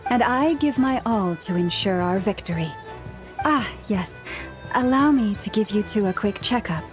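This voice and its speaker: A woman playing a calm, gracious healer. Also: medium pitch, normal speed, music in the background, close to an everyday voice, low-quality recording. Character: gentle, dignified woman healer, calm and soft-spoken. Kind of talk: video game cutscene dialogue.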